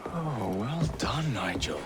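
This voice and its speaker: A man's voice: mid-range voice, very animated, slow pace. A man playing a cocky young English gang leader in a video game, with a dry, sardonic delivery. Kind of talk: video game cutscene acting. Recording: voice over loud train noise.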